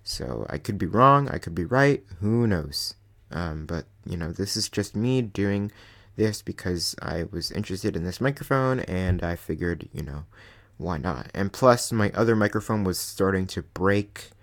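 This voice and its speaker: A young man with a notably deep, low voice talks through a microphone review on his channel. His delivery is loose and rambling, full of ums and you-knows, with self-deprecating asides. He sounds close to a desk mic. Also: expressive, a little slow. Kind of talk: casual rambling product review. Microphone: desk USB microphone.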